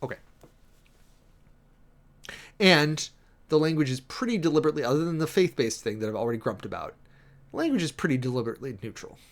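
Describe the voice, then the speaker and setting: A man's voice: a little high, expressive, fast, pauses often. Wry man reading aloud with running commentary. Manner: wry, sardonic asides. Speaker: streamer and preacher giving commentary.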